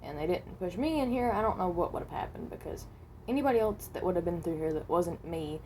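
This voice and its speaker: A woman voicing a reluctant, resigned animatronic rabbit from another dimension in a fan roleplay, speaking haltingly and correcting herself. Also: fairly low pitch, animated, a little mellow, a little hiss or hum. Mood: uncertain, willing. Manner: hesitant, halting, self-correcting.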